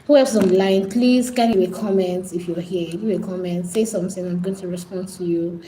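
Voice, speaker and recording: A woman's voice: on the low side, fairly even intonation, brisk, dark tone, roomy sound, slight background noise, low-quality recording. A Nigerian woman hosting a casual live stream, pleading and repetitive as she talks straight to her viewers.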